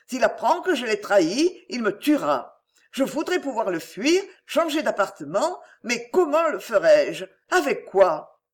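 A woman's voice: on the high side, sing-song, unhurried. A woman voicing a self-pitying, scheming middle-aged mother in an audiobook, plaintive, melodramatic and wheedling. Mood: tearful, wheedling. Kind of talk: acted audiobook dialogue.

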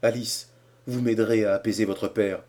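A man's voice: lowish voice, steady tone, normal speed, dark tone, close to an everyday voice. A man voicing a clumsy young Englishman who speaks in exasperation. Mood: pleading.

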